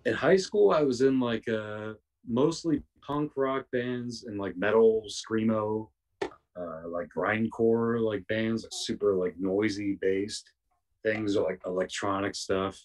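Young guy w raspy voice rambling on a podcast, lowish voice, sounds a bit off mic. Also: takes it slowly, darkish tone, distant mic, rough recording. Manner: rambling, many fillers and restarts. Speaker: podcast guest. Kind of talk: casual chat.

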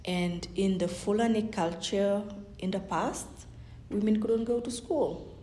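A woman's voice: mid-range voice, a little slow, mellow, slight background noise. A woman from Senegal, an academic guest giving thoughtful answers and often restarting sentences. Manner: thoughtful, restarts sentences, lots of "you know". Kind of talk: interview answers. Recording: echoey room.

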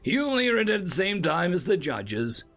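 A man's voice: sits high, big pitch swings, moderate pace, a bit bright, faint music behind, voice pushed up, full character voice. A man voicing a self-assured, airy and curt alien senior observer in a science fiction story. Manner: firm, dismissive.

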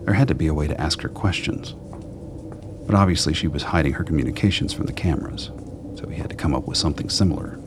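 A man's voice: a bass voice, quick pace. A man narrating a scripted radio drama. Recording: clear background noise.

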